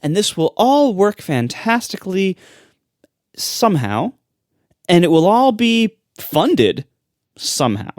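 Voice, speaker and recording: A man's voice: high-pitched, takes it slowly, pauses often, dry recording, studio quality. A man co-hosting a tech podcast, talking in an emphatic, skeptical rant. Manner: animated, emphatic, skeptical ranting. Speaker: podcast co-host. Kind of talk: casual tech chat.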